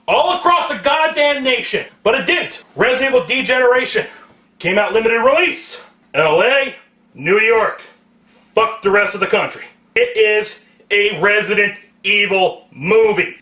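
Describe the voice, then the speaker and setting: Male ranter, very high-pitched and sharp, swearing and exasperated, roomy sound. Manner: ranting, sweary, emphatic, exasperated. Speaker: host of a video rant segment. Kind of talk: profane angry film rant. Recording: echoey room.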